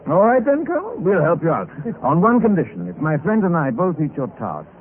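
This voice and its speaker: An urbane British gentleman adventurer in a vintage radio drama. He is genial, curious and a little reckless, with theatrical, very animated intonation. The sound is narrow and tinny, with clear hiss and scratch. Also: fairly high pitch, a little fast. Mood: amused. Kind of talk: old-time radio acting, part narration.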